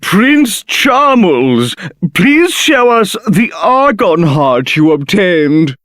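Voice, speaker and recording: An actor playing a solemn, formal royal official in a video game, declaiming ceremonially. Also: male voice, high voice, sing-song, drawn-out words, a bit bright, dry recording, studio quality, heavily acted. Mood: ceremonious. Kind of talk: video game character acting.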